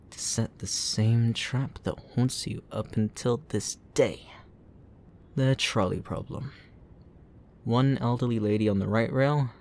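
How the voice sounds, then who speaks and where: A man playing a gloating, obsessive supervillain in a roleplay ASMR audio drama, teasing and possessive, with a theatrical, drawn-out delivery. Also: lowish voice, a little mellow.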